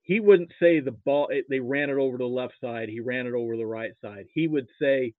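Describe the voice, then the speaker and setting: An American man from Nebraska talking casually about sports as a podcast guest, halting and opinionated. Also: mid-range voice, no echo. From Nebraska, United States. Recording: thin phone-like call audio.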